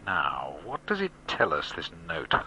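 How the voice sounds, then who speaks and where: Hard-edged, deep-voiced smooth criminal. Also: male voice, wildly swooping pitch, unhurried, bright, forceful tone, exaggerated voice. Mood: smooth, menacing. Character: menacing, smooth-talking male criminal villain. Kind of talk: radio drama acting. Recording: dull sound, faint background noise.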